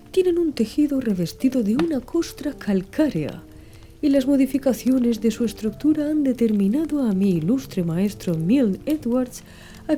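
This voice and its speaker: A woman's voice: fairly high pitch, dark tone. A woman reading scripted audiobook narration.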